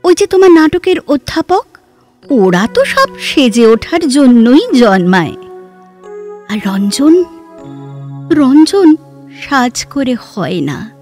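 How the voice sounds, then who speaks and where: A woman playing an articulate, wistful, reflective woman in a literary audio drama, with measured, theatrical phrasing. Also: high-pitched, sing-song, darkish tone, soft background music, exaggerated voice.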